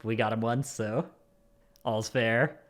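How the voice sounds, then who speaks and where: A man's voice: mid-range voice, fairly even intonation, takes it slowly. A man hosting a gaming podcast in a casual, chatty style. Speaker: podcast host.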